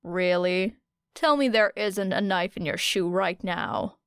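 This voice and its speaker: A woman voicing a dry, teasing, observant teenage boy with an unhurried, muttering manner in an audiobook. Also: on the low side, clean tone, no echo. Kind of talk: audiobook character voice.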